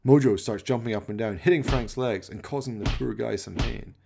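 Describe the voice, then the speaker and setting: A man with a gravelly voice narrating a dramatic scripted radio play. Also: medium pitch, brisk, over music.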